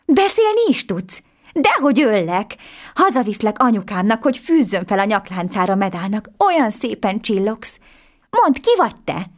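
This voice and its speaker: A woman voicing an earnest, eager poor little boy in a storybook tale, asking questions and pleading. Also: very animated. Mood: amazed. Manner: high, pleading, quick questions.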